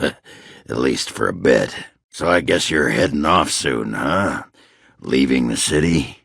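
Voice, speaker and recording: Game casting: boozy, blunt old-school dwarf brawler, raspy growl, slow drawl with big swings in pitch. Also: male voice, fairly bright tone, no echo, studio quality, full character voice. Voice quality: gravelly, rough. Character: gruff, boozy, crude male dwarf warrior. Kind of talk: video game character acting.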